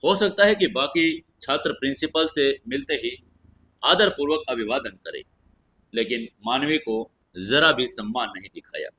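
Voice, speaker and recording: A male storyteller narrates a scripted fantasy audio drama. His voice sits at an ordinary middle pitch with a slightly husky grain. The delivery is that of dramatic story narration. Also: animated, unhurried, pushed and bright.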